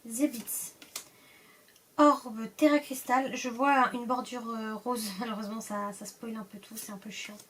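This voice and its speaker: A woman's voice: on the high side, steady tone, unhurried, fairly bright tone, recorded from a distance, slight background noise. A woman with a cold, casually opening card packs and reading the card names aloud, often hesitating and trailing off. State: stuffy from a cold. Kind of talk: casual pack-opening commentary.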